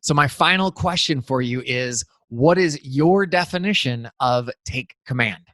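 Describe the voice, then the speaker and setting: A man's voice: medium pitch, expressive, measured pace, pushed and bright, no room sound. A man hosting a podcast, wrapping up an interview and giving an enthusiastic, motivational outro that repeats phrases for emphasis. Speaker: podcast host.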